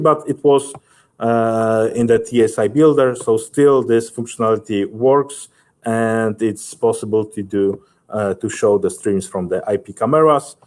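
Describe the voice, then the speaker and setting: A man presenting a technical webinar and answering questions in halting, hesitant English, often searching for words. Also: mid-range voice, a little mellow.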